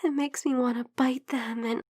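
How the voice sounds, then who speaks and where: A shy young vampire girl, acted by a woman in an intimate ASMR roleplay. Her voice is high, soft and breathy, often falling to a whisper. She speaks slowly and hesitantly, tender and hungry, very close and dry. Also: no echo. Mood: smitten.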